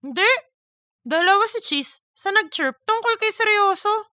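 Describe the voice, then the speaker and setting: Woman playing a very high, slangy joker guy. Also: animated, unhurried, sharp tone, dry recording, studio quality, full character voice. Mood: teasing. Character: slangy, teasing male office buddy, self-promoting joker. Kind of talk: scripted comic audiobook dialogue.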